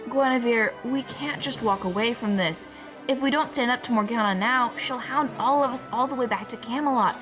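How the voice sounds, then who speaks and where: An actress playing a brave, loyal knight with an earnest, urging manner in a fantasy radio play. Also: female voice, fairly high pitch, normal speed, music in the background, close to an everyday voice.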